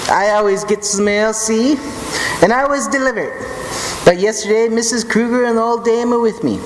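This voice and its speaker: A man's voice: high-pitched, fairly quick, close to an everyday voice. Casting: male actor as slangy wiseguy chauffeur, swaggering, old radio crime drama; reverberant live hall recording. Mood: sly, boastful. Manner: slangy, swaggering gangster-style talk. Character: cocky tough-guy chauffeur and bodyguard, streetwise wiseguy. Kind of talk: old-time radio detective drama acting. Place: live stage performance in a theatre hall. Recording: echoey stage hall with noise.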